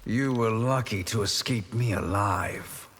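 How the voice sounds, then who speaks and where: An actor playing a smug, cruelly vain sorcerer villain in a video game, speaking in a deliberate, taunting, theatrical way with a husky voice. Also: male voice, lowish voice, a bit bright, long reverb tail.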